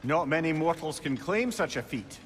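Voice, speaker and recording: A wry old Scottish sage played by a man, talkative and learned, with an animated, swooping delivery. It is game cinematic dialogue with noticeable ambience behind it. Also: fairly high pitch, moderate pace, slight background noise, close to an everyday voice. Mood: chatty, informative. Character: garrulous, wry old male sage companion. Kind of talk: video game cinematic acting.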